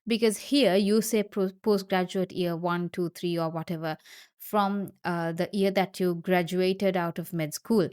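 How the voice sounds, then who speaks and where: A woman's voice: fairly low pitch, steady tone, a little slow, darkish tone, clear, resonant tone. A woman from Sri Lanka, a doctor, patiently explains job terms in an informal video, repeating key points and speaking directly to viewers. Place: Queensland, Australia.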